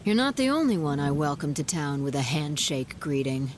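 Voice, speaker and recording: A woman voicing a haughty, scornful goddess villain in a video game cutscene, speaking in a measured, condescending and theatrical way. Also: low-pitched, very animated, noisy background. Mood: calm, superior. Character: haughty, scornful goddess, coolly amused villain behind it all.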